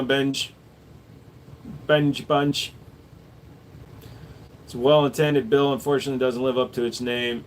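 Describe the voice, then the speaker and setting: A man at an ordinary mid pitch hosts a relaxed livestream, reading viewer questions out and answering them offhandedly. A faint hiss of noise sits under his voice. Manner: relaxed, offhand, reads viewer messages aloud. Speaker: gun shop owner and livestream host.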